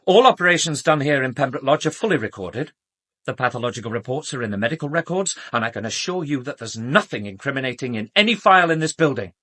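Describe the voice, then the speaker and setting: A man's voice: mid-range voice, animated, fast talker, fairly bright tone. An actor with a British accent playing an arrogant doctor in a radio drama, haughty, precise and emphatic in his own defence. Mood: indignant, cold. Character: arrogant, self-justifying male doctor under police questioning.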